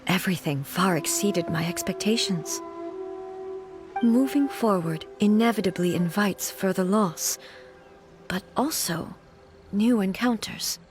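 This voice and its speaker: A woman voicing a solemn, grieving warrior goddess in a video game, speaking in a measured, formal way. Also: medium pitch, music in the background, natural voice. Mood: reflective, sorrowful. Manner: measured, formal, grave delivery.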